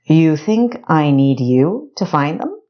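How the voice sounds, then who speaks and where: Woman as a slow, low, menacing male hunter. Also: sing-song, highs cut off, full character voice. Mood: scornful, commanding. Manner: measured, commanding, formal. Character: cold, menacing, impatient male dungeon keeper hunting fugitives.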